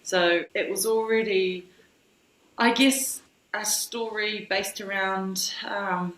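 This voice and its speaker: A woman's voice: medium pitch, fairly even intonation, takes it slowly, fairly bright tone, echoey room, poor audio. A woman in her forties with a New Zealand accent, a guest talking casually and reflectively over a remote call, often restarting her thoughts. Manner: reflective, loose and searching, frequent restarts. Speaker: guest, brand founder. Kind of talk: casual conversational interview. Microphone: remote video call.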